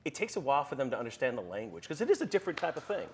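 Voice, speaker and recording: A man's voice: mid-range voice, sing-song, racing, a little mellow, slight background noise. A man interviewing an actor, asking long questions with stumbles and repeats. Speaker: entertainment interviewer.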